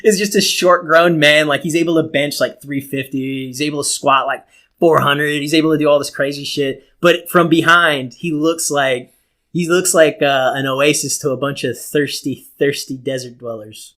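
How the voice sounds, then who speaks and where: A man's voice: on the high side, fairly even intonation, moderate pace, a bit bright. Rambling American man swapping casual stories. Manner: rambling, acts out quoted dialogue, laughs at his own stories. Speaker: podcast co-host telling stories. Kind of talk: casual, profane comic storytelling.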